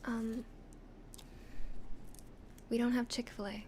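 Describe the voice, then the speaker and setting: A young Canadian woman from the West Coast with an accent close to Californian, whispering softly and chatting as an ASMR streamer on a close microphone. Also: a little high, flat delivery, slow pace, slight background noise. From western Canada. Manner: soft whispering, chatty, laughs often.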